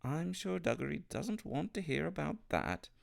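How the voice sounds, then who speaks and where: Man voicing a plaintive young storybook boy, animated. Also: fairly high pitch, moderate pace, gravelly, pitched above the performer's own voice, full character voice. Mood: secretive, earnest. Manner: plaintive, insistent, emphatic. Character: miserable, grubby young boy, defiant and wound up. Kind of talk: storybook character reading.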